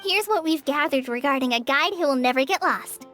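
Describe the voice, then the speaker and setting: A woman's voice: high voice, expressive, brisk, fairly bright tone. A woman playing a friendly, idealistic young girl in a video game, explaining things in an encouraging way. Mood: informative. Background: faint game music.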